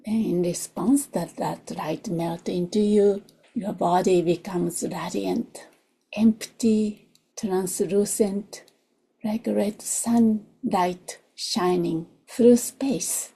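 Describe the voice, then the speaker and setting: A woman who is not a native English speaker leads a guided meditation in a soft, gentle voice, in short unhurried phrases that often drop toward a whisper. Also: medium pitch, steady tone, vocal fry, husky, low-quality recording. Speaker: meditation teacher. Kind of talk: guided meditation, slow and soothing.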